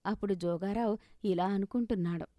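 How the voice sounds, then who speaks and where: A woman narrates a scripted moral story. Her voice is of medium pitch and recorded very dry, with no room around it. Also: a little fast, warm tone, dry recording.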